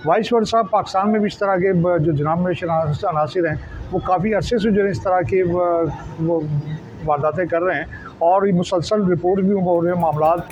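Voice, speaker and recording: A man with a Pakistani accent explains in an interview as a journalist, wordy and halting, often repeating and restarting his words. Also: sits high, darkish tone. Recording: dull lo-fi sound, faint background noise.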